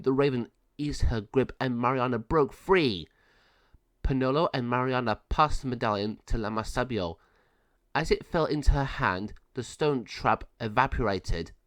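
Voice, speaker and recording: A man's voice: medium pitch, a bit bright, no echo. A man reading a children's adventure story aloud as a scripted narration.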